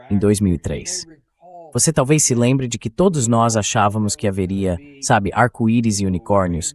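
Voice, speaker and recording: A man's voice: on the low side, darkish tone. A man with a Brazilian accent hosting opinionated news commentary in a blunt, sarcastic, colloquial way. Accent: Brazilian Portuguese. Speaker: talk show host and political commentator.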